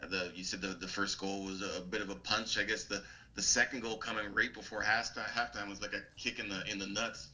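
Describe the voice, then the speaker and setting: A man asking a player questions at a press conference in a casual, halting way. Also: on the low side, flat delivery, pushed and bright, rough recording. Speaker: sports reporter.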